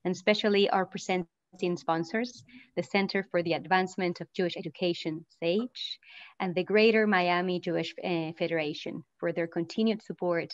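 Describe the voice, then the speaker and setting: Measured woman moderator, dull laptop sound. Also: lowish voice, dry recording. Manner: formal and measured. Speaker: moderator, researcher. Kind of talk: scripted welcome then interview questions. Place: room at home. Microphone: laptop mic on a video call. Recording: dull, dry laptop audio.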